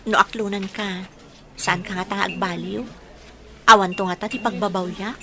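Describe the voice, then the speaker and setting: An actress with an Ilocano accent playing a frank, caring young woman being courted in an expressive radio drama. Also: medium pitch, normal speed, slight background noise, lightly acted. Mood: serious, yielding.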